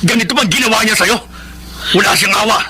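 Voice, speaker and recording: A high, piercingly tense man's voice plays a protective, hot-tempered older brother in a radio drama. He is forceful and vengeful. Faint noise sits in the recording. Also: fast, exaggerated voice. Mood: furious, vengeful. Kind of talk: Filipino radio drama acting.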